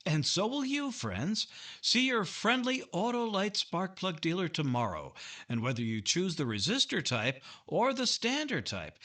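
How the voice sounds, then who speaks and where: A man plays a peppy vintage radio pitchman with a bright, highly animated voice, selling with emphatic conviction. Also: heavily acted. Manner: emphatic, salesmanlike. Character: peppy 1950s male spark plug pitchman. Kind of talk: 1950s radio commercial.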